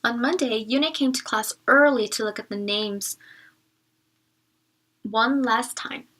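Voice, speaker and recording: A woman reading a children's story aloud as a teacher, pausing to ask questions and explain words expressively. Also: on the high side, fairly bright tone.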